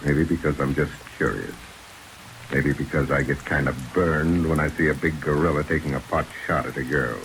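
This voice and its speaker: A hard-boiled private detective with a rock-bottom deep voice. He talks drily and laconically, keeping a cool head with a sardonic edge. It sounds like an old radio drama with rain falling softly over it. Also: fairly even intonation, moderate pace, slight background noise, rough recording. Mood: calm, dry. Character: tough, cool-headed American private detective leader.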